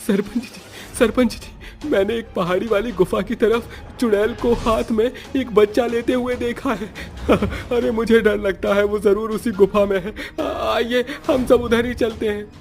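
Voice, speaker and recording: A man voicing a frightened, excitable villager in a cartoon story, nervous and alarmed. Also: sits high, unhurried, vocal fry, few pauses, faint music behind, pitched above the performer's own voice. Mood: panicked. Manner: panicky, nervous.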